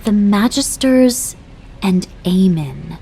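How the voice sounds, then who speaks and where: A woman playing an earnest, principled young military aide in a video game cutscene, speaking in a crisp, articulate manner. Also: medium pitch, expressive, takes it slowly, warm tone, a little hiss or hum. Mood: indignant.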